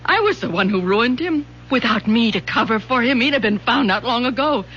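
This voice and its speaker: A woman's voice: on the high side, animated, a little fast, a bit bright. An actress playing a wounded, possessive middle-aged wife and mother in a melodramatic radio play, brooding and sarcastic. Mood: anguished confession. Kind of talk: old-time radio drama acting. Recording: dull lo-fi radio recording, faint hum.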